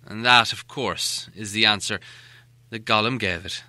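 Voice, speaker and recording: A man narrating a storybook as an audiobook reader, with an expressive, dramatic delivery. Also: lowish voice, unhurried, dry recording. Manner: expressive, animated.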